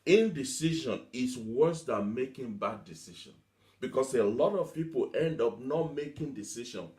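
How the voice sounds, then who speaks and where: A man's voice: medium pitch, lively intonation, normal speed, darkish tone. A man preaching a motivational teaching sermon, emphatic, repeating himself and asking rhetorical questions. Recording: echoey room.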